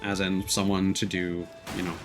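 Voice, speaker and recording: A man's voice: deep voice, level tone, moderate pace, faint music behind. A man giving casual, off-the-cuff commentary as he streams a video game. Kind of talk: casual let's-play commentary.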